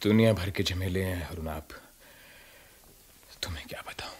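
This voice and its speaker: An actor in a radio play voicing a weary, troubled writer and husband, with an emotive, halting delivery. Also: male voice, low voice, slightly rough. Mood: wistful. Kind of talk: Hindi radio play acting.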